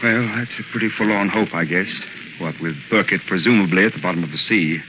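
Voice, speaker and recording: Man playing an anxious, low-voiced businessman. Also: fairly quick, natural voice. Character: anxious, discouraged company president in hiding. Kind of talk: 1930s radio drama acting. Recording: muffled, noisy 1930s radio recording.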